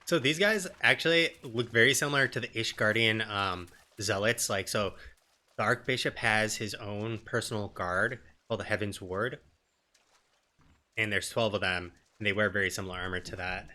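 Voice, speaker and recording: A man's voice: lowish voice, normal speed, sharp tone. A man giving casual, informal commentary as he streams a video game. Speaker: let's play streamer.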